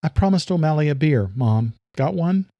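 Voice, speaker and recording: A woman's voice: deep voice, expressive, normal speed, no echo, lo-fi sound, pitched above the performer's own voice. A man narrating a story, voicing a tense young woman cop who is exasperated and pleading with her mother. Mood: warm.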